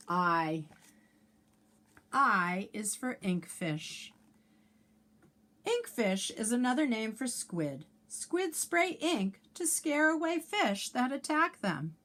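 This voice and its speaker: A woman reading a children's picture book aloud like a teacher, sing-song and clearly enunciated, with asides and questions for young listeners. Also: fairly high pitch, a bit bright.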